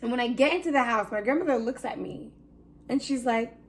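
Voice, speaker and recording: Young woman host, loud and animated storyteller, fairly high voice, laughs a lot. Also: fairly quick, a little hiss or hum. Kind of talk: casual chat.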